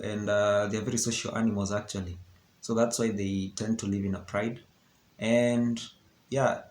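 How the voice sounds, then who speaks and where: A man's voice: fairly low pitch, a little mellow. A young Kenyan man with a Kenyan accent, English not his first language, explaining things in a chatty vlog, often checking in with "okay? yeah". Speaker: vlogger. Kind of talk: casual educational vlog talk. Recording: roomy echo with faint background hiss.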